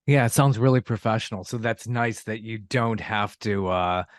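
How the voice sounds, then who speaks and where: A man's voice: on the low side, moderate pace, dry recording, clean studio sound. A man hosting a podcast interview, asking friendly, encouraging questions. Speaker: podcast host. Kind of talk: casual interview chat.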